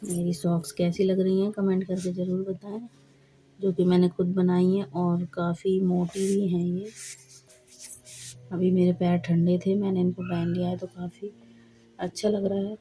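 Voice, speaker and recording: A woman vlogger who is hoarse from a cold, talking slowly and plainly to camera while trying on socks at home. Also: fairly low pitch, level tone, warm tone, slight background noise, low-quality recording. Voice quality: husky. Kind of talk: casual vlog talk to camera. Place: indoors at home, camera on the floor.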